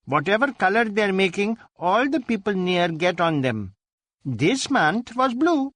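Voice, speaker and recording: A man's voice: high-pitched, very animated, measured pace, a bit bright, no echo, voice pushed up, full character voice. A man voicing a cheerful, guileless twelve-year-old Indian boy in an audiobook story. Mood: innocent, matter-of-fact. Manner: simple, guileless. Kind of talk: audiobook character acting.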